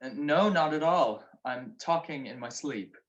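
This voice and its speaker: An actor playing a grumpy, wisecracking married gentleman sleuth with sardonic wit in a radio comedy-mystery. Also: fairly high pitch, lively intonation, a little slow, muffled recording. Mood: grouchy, sleepy.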